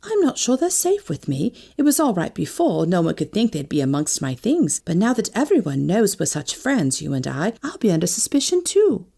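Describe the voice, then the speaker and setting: A woman voicing a sharp, playful lady friend in an audiobook, with a brisk, arch manner. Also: medium pitch, huge pitch swings, creaky, slightly rough, full character voice. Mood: doubtful. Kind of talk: audiobook character dialogue.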